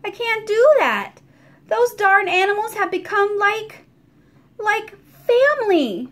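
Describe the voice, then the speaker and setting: A worn-out storybook landlady groans and frets in a high, strained voice, as a woman reader puts her on. She sounds exasperated and bone tired, but kindly underneath. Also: a little slow, a bit bright. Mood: rueful.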